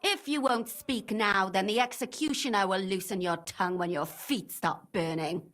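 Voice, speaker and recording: A woman's voice: mid-range voice, moderate pace, fairly bright tone, close to an everyday voice. An actress playing a fierce, vengeful woman in a video game drama, menacing, mocking and impatient. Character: fierce, vengeful young woman, threatening and sarcastic.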